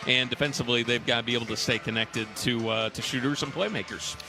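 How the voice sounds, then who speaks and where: A man hosts a live basketball halftime show on radio, breaking down the play. His voice sits in a middle register. Music plays clearly behind him. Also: medium pitch, fairly even intonation, fast. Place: arena radio broadcast. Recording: music behind the broadcast talk.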